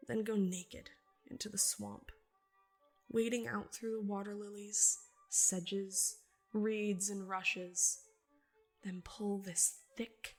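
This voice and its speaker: A woman as a husky, defiant young swamp healer. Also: mid-range voice, level tone, slow pace, soft background music. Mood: hushed, entranced. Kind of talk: literary monologue, dramatic reading.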